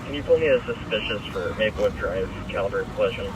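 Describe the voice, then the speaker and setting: A man's voice: on the low side, fast talker, very noisy. A man reading out police dispatch calls in clipped radio codes. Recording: distant police radio speaker in a car.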